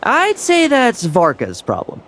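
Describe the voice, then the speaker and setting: Wry knight, very lively pitch. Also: male voice, unhurried, background noise, full character voice. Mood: dry. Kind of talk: game cutscene voice acting.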